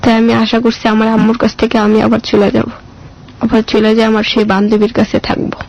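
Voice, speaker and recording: Female interview guest, Bangladeshi accent, heavy vocal fry, plain plaintive telling, muffled lo-fi audio. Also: fairly high pitch, monotone, brisk, darkish tone. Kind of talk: personal life story told in an interview.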